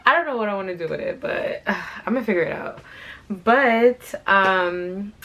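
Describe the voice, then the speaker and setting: A young woman hosting her channel, telling a casual story time in a chatty, slangy way while doing her hair, her voice a little raspy from being sick. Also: mid-range voice, moderate pace, sharp tone. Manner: chatty, slangy, repeats "like" and "you know what I'm saying". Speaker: fashion channel host.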